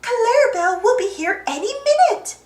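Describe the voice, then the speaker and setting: Woman as an excitable, highly animated female cartoon duck. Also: a little slow, reverberant, exaggerated voice. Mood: worried.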